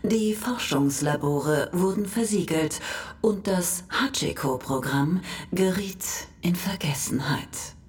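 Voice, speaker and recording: An actress playing the solemn, formal narrator of a cosmic encyclopedia in a sci-fi radio play. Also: female voice, on the low side, slow pace, slight background noise. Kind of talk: scripted mock-documentary narration in a radio play.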